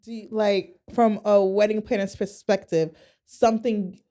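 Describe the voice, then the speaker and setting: A woman's voice: medium pitch, fairly even intonation, dark tone. Chatty woman asking questions. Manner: asks questions, quick interjections. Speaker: podcast co-host. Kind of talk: casual podcast chat.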